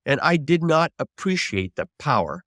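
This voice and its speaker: A man's voice: fairly high pitch, very animated, normal speed, a bit bright, no echo, clean studio sound. A man in his seventies, a veteran trial lawyer, giving unscripted advice in an emphatic, reflective way and restating points for stress. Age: 70s.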